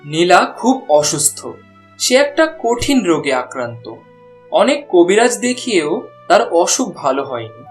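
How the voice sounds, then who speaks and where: Animated, lively cartoon story narrator. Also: mid-range voice, moderate pace, soft background music.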